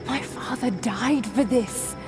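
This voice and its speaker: An actress playing a determined young adventurer in a video game, earnest and intense. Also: female voice, on the high side, slow pace. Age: young adult. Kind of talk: cinematic game acting. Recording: music behind.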